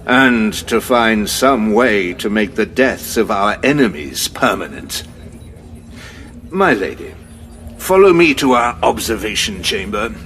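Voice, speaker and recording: An actor playing a grave male general in an audio drama, briefing in a measured, formal way. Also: fairly low pitch, sing-song, fairly bright tone, slight background noise, exaggerated voice.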